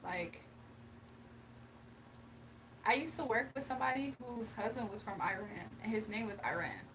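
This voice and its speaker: A woman's voice: mid-range voice, lively intonation, normal speed, a bit bright. A young woman chatting casually with her livestream viewers and laughing often, then doing a tarot reading. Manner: chatty, laughs often, reads chat aloud. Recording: distant mic with faint room noise.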